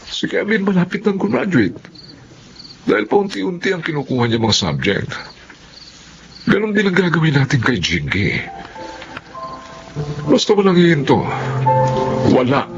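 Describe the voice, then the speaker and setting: A man's voice: a little high, big pitch swings, measured pace, creaky, pauses often, full character voice. A middle-aged man playing a stubborn, devoted farmer father in an earnest radio drama style. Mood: firm, determined. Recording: muffled audio over music.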